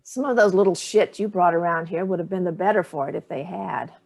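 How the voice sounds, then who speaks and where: A woman's voice: on the low side, a little fast, vocal fry, a little mellow. An actress playing Sallie, a dry, cutting older mother fond of cocktails, in a staged play reading. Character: Sallie, sharp-tongued hard-drinking older mother.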